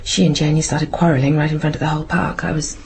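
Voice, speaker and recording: An actress playing a flustered British housewife drawn into spying, speaking haltingly and confidingly with an English accent in a radio drama. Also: female voice, sits low, flat delivery, a little mellow, slight background noise. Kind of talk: naturalistic radio drama acting.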